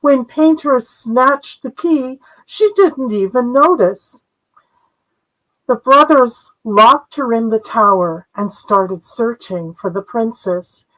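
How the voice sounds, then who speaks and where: An older woman narrates a storybook tale in a fairly high voice. She takes her time, reading gently and in a measured way, phrase by phrase. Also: low-quality recording. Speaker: author reading own story. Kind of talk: storybook narration read aloud.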